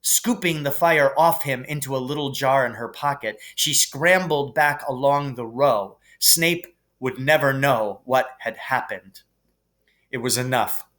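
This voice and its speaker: A man reading a children's novel aloud as expressive narration. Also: mid-range voice, measured pace. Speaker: reader.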